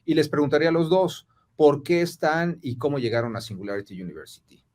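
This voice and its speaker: A man hosting a podcast conversation, asking questions with many filler pauses. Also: a little high, big pitch swings, a little mellow. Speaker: podcast host. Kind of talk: casual conversational interview.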